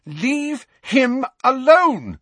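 A man voicing a furious, sharp-tongued teenage girl in an audiobook story, scolding with heavy emphasis. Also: fairly low pitch, lively intonation, unhurried, dry recording. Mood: indignant, angry.

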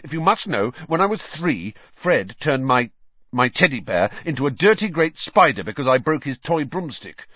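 A man voicing a hot-headed, defensive schoolboy with a gruff, indignant manner in a storybook reading. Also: lowish voice, big pitch swings, normal speed, few pauses, dry recording, dull audio. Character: grumbling, hot-headed schoolboy, easily stung.

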